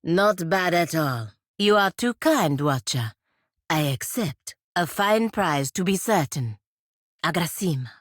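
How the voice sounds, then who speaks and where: A woman's voice: on the low side, expressive, slow, dry recording, clean studio sound. An actress voicing a proud, sardonic woman paladin in a video game, with a formal, clipped, commanding manner. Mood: formal, composed. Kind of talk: video game character voice lines and battle barks.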